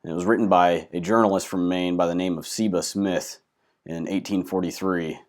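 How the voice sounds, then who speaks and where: A man hosting and telling a folk tale in an unhurried, dramatic storytelling manner. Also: deep voice, steady tone, no echo, clean studio sound. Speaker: host and storyteller.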